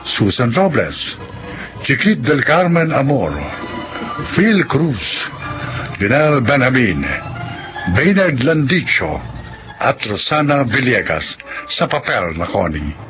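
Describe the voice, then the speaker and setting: A man's voice: medium pitch, sing-song, takes it slowly, long pauses, fairly bright tone. A man with a Filipino accent reading scripted narration as a radio drama announcer. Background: music.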